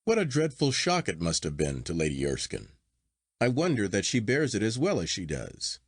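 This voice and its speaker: A man voicing a horrified, incredulous gentleman in a Victorian story, exclaiming in an agitated manner. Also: lowish voice, wildly swooping pitch, normal speed, slightly rough, no room sound, clean studio sound, full character voice. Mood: concerned.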